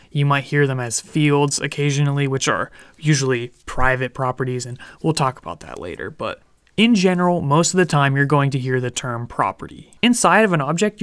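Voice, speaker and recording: A young man explaining programming in a relaxed, friendly way over a screen recording, with light jokes. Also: on the high side, smooth, dry recording. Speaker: programming instructor. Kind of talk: screen-recorded coding tutorial.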